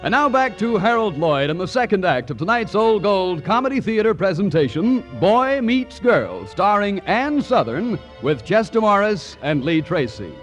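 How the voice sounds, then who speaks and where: A man announces in persuasive 1940s radio style, folksy and scripted. His voice is a little high and swings widely, with faint music in the recording. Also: sing-song, normal speed, few pauses. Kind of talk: 1940s radio advertising pitch.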